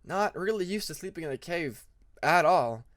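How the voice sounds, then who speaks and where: A man's voice: fairly high pitch, lively intonation, moderate pace, a bit bright. A man voicing a polite, modest young male dragon student in a fan fiction audiobook. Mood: tired, honest.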